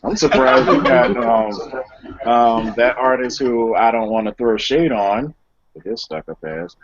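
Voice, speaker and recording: A man with an average-pitched voice talks as a guest in a loose group discussion. His delivery is informal and plainspoken. The sound is a bit muffled and low in fidelity. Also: measured pace, no room sound. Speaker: guest writer and poet. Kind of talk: casual panel discussion. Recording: dull, muffled lo-fi audio.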